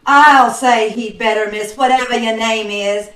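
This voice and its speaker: A woman playing an indignant, distressed young lady with sharp exclamations, in a 1940s radio drama style. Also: fairly high pitch, fairly even intonation, measured pace. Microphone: video call. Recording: echoey online-call recording.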